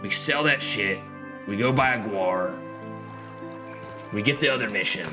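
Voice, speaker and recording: A man with a fairly low voice commentates casually on the game he is playing, grumbling and swearing as he goes. Game music plays clearly behind him. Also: a little slow. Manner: profane, grumbling. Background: video game music.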